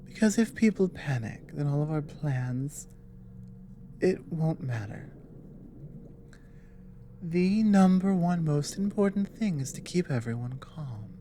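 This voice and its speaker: A woman playing an earnest, apologetic female dragon in an ASMR audio roleplay, explaining things hesitantly in a soft voice. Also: low-pitched, lively intonation, slow pace, long pauses, a little hiss or hum. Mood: grave, warning. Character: earnest, apologetic female dragon warning a human ally of war.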